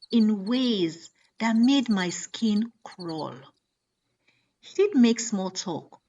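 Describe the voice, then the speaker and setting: A woman's voice: mid-range voice, highs cut off, slight background noise. Woman telling a dramatic story, takes her time, voice moves up and down a lot, birds chirping behind. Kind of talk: dramatic first-person scripted narration.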